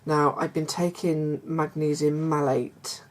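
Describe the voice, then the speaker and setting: Croaky middle-aged woman chatting. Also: low-pitched, steady tone, slow pace. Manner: hesitant, many fillers and restarts; warm confiding tone toward viewers. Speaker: vlogger. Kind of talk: casual chat to camera.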